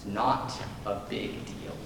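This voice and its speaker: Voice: slow pace, heavy background noise, natural voice. A young performer playing a scornful teenage student who rants and scoffs, heard on stage from far off in an echoey hall. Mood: ranting. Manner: scoffing, ranting.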